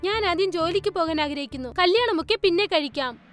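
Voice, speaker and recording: A woman voicing the mothers and girls in a cartoon story, speaking in a clear, coaxing way. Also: very high-pitched, lively intonation, fast talker, fairly bright tone, soft background music. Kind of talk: cartoon voice acting.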